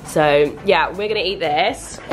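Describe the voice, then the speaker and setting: Croaky young woman vlogging. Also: on the low side, measured pace, a little hiss or hum. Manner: chatty, teasing, laughs often. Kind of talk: casual vlog chat.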